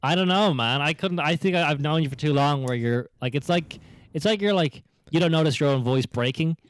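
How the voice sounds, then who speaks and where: A man's voice: fairly high pitch, a little fast, no echo. A young man from Ireland with a Dublin accent tinged by American TV, co-hosting a podcast in a chatty, giggly way. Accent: Dublin Irish with an American tinge. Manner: chatty, giggly, animated storyteller. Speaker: podcast co-host. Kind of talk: casual podcast chat.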